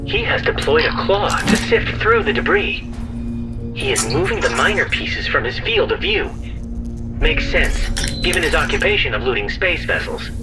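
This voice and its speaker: An actor playing a formal, composed robot first mate in an audio drama, giving precise, urgent counsel. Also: male voice, a little high, wildly swooping pitch, normal speed, over music. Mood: composed warning.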